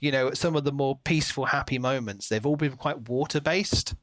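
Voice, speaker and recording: A man co-hosting a podcast, talking through a book in a casual, animated retelling style. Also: mid-range voice, a little fast, no echo, clean studio sound. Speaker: podcast co-host.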